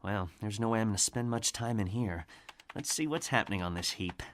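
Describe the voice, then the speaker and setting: An actor playing an earnest young imperial lieutenant in an audio drama, plain-spoken and dryly sarcastic. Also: male voice, fairly low pitch, expressive, fairly quick, a little hiss or hum, close to an everyday voice. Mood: wry grumbling. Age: young adult. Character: earnest, wry young male imperial lieutenant, modest newcomer. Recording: crowd murmur behind the voice.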